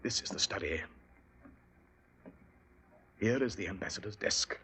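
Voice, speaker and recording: An actor playing a shrewd, commanding male detective in 1940s radio drama style, with a crisp, authoritative delivery. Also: lowish voice, measured pace, natural voice. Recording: old radio broadcast with faint hiss.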